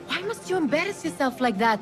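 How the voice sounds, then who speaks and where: A woman's voice: high voice, big pitch swings, fast talker, over music. An actress playing a blunt, sardonic young woman in a video game, teasing and scornful with her friend. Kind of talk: video game cutscene acting.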